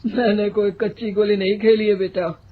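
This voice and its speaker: An actor playing a shrewd, forgiving father in an animated moral story, measured and paternal. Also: medium pitch, close to an everyday voice. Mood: knowing, forgiving. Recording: thin phone-like sound.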